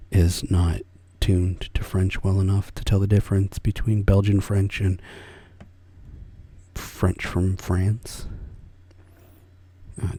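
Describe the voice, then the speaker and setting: An American man talking soft-spoken for ASMR, often slipping into a whisper, rambling and correcting himself as he goes. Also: sits low, husky, no echo. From United States. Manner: meandering, self-correcting, chuckles softly. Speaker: ASMR creator.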